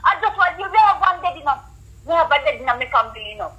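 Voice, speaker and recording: Very high-pitched indignant Jamaican woman. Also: expressive, fairly quick, pushed and bright, lo-fi sound. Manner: indignant, repetitive, emphatic. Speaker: prank call target. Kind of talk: heated phone argument.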